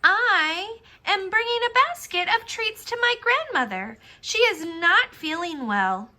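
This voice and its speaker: A woman's voice: very high-pitched, sing-song, measured pace, sharp tone, pitched above the performer's own voice, heavily acted. A woman voicing an innocent, trusting little girl in a storybook tale, with a sweet singsong manner.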